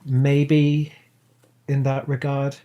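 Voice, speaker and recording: Man, British accent, medium pitch, playful, performative, casual chat, podcast guest. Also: monotone, drawn-out words, dark tone, lo-fi sound. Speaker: podcast guest, audio drama producer. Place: remote online call.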